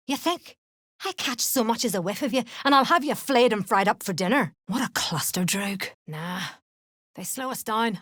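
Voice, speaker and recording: An actress playing a tough, foul-mouthed duergar mercenary in a fantasy game, blunt and menacing in manner. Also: female voice, a little high, dry recording, clean studio sound. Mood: sharp, threatening. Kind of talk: fantasy game character acting.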